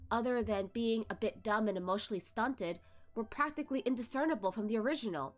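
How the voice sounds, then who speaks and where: A woman hosting a livestream, narrating a web novel in a steady storytelling way. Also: mid-range voice. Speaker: channel host reading a novel. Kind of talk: read-along narration.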